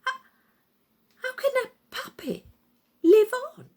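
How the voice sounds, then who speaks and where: A woman's voice: extremely high pitch, big pitch swings, slow, darkish tone, pitched above the performer's own voice, heavily acted. A woman voicing a scornful, flustered male puppet master in a storybook, stammering and incredulous. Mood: trembling disbelief.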